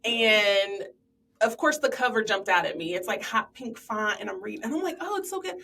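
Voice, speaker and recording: A woman's voice: medium pitch, animated, normal speed, vocal fry, pushed and bright, distant mic, a little hiss or hum. Upbeat woman host, slightly off-mic. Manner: enthusiastic, affirming interviewer. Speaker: podcast host. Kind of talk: casual interview chat.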